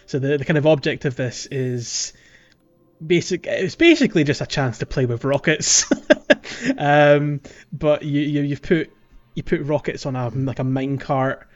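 A man's voice: a little high, fairly even intonation, unhurried, highs cut off. A man co-hosting a casual gaming podcast, talking informally and thinking aloud as he goes. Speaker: podcast co-host.

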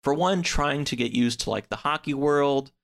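A man in a casual podcast chat, recapping a story in a halting, hedging way. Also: medium pitch, gravelly, a bit bright. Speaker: podcast speaker discussing books.

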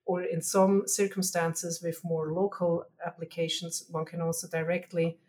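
A woman's voice: on the low side, little pitch movement, normal speed, a little mellow. A woman from Switzerland with a Swiss German accent, explaining science as an interview guest in careful, sometimes hesitant phrasing.

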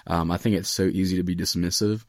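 A man's voice: deep voice, steady tone, quick pace, no echo, studio quality. An American man in his late twenties chatting casually and earnestly as a podcast host, with plenty of "like" and "you know". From Raleigh area, United States. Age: late 20s. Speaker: podcast host, student pastor.

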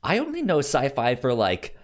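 Animated man chatting casually about film. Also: mid-range voice. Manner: halting, repeats words as he thinks. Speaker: film podcast co-host.

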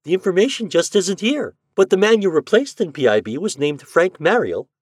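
A man reading a story, voicing a brisk, helpful young female records clerk. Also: sits high, sing-song, dry recording, clean studio sound, pitched above the performer's own voice.

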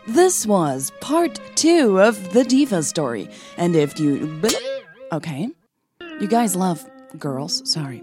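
A woman hosting an audio fiction podcast, with music behind her voice. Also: medium pitch. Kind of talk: scripted story narration and casual outro chat.

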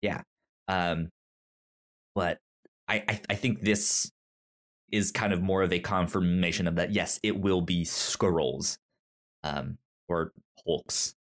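A man's voice: low voice, fairly even intonation, takes it slowly, long pauses, fairly bright tone. A man co-hosting a podcast, chatting casually with geeky enthusiasm and often circling back to rephrase himself. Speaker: podcast co-host. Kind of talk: casual chat about comics and shows.

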